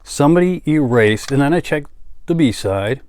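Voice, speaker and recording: A man chatting casually as a collector and vlogger, halting and trailing off into asides as he shows items. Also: on the high side, expressive, a little mellow. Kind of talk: casual show-and-tell chat.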